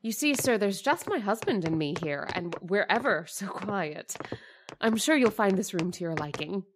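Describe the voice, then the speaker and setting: A woman's voice: mid-range voice, lively intonation, moderate pace, background noise, lightly acted. A woman playing an anxious, thrifty landlady who stammers and fusses to please, in a radio drama. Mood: flustered. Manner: flustered and stammering, eager to please. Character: anxious, eager landlady, middle-aged, thrifty.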